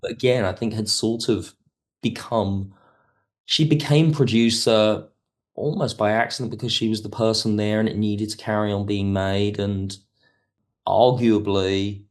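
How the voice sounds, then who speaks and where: A man speaking as an expert guest in an interview, explaining things in a measured, digressive way. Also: lowish voice, fairly even intonation. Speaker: podcast guest, television history expert. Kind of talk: conversational interview commentary.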